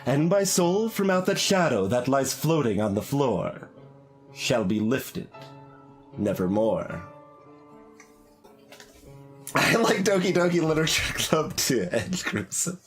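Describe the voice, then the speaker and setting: A man who streams games, speaking or reciting in an expressive performance for his audience. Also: mid-range voice, slow, pauses often. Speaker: game streamer. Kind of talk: casual live playthrough commentary; dramatic poetry recital. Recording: music behind the voice.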